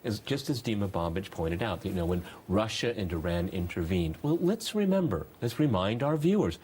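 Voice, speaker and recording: A man's voice: lowish voice, very animated, normal speed, a little mellow, slight background noise. A man hosting and moderating a panel discussion in an emphatic, argumentative way. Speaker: talk show host and moderator.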